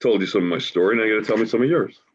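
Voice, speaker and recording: A man's voice: on the low side, racing, breathy edge. An actor playing an upbeat homeless man who welcomes his death, talking colloquially and emphatically in a staged play reading. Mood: cajoling. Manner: colloquial, emphatic, matter-of-fact about death. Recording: dull, lo-fi video call audio.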